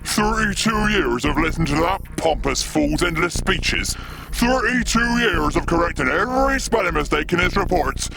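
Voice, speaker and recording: A man's voice: high-pitched, lively intonation, moderate pace, vocal fry, poor audio. An actor playing a flustered alien military man in a comedic sci-fi audio drama, stammering and blustering as he talks. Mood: bitter. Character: alien military men, pompous blustering captain and downtrodden servile assistant.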